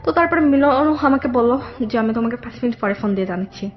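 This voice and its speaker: A young woman with a Bangladeshi accent telling a personal story conversationally, haltingly, often circling back over details. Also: fairly high pitch, lively intonation, lo-fi sound. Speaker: guest telling her life story.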